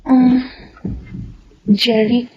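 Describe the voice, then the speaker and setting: A woman's voice: on the high side, level tone, drawn-out words, slight background noise. A woman playing an earnest, hesitant young Filipina in a radio drama, anxious and trusting. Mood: worried. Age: young adult. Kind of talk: radio drama acting and narration. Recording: dull radio audio with music behind.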